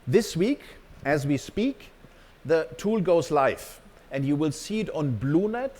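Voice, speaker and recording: A man's voice: a little high, very animated, takes it slowly, darkish tone. A man giving a company presentation to staff as an executive, partly off the cuff, emphatic and often checking in with his listeners.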